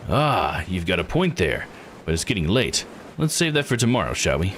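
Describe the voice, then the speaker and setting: A man's voice: low-pitched, big pitch swings, normal speed, fairly bright tone, slight background noise, voice pushed down. A man reading the part of an easygoing, wry male sightseer in a visual novel. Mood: casual, wry. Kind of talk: visual novel character read-aloud.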